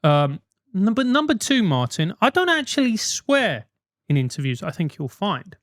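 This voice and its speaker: South London male host, animated advice rant, loose and profane, dry close mic. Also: high voice, moderate pace, no room sound. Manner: animated, emphatic, swears freely.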